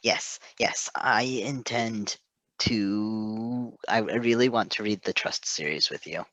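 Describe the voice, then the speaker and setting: A man's voice: lowish voice, slow, pushed and bright, dry recording. A man hosting in a casual chat style, enthusiastic and prone to rambling. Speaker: host.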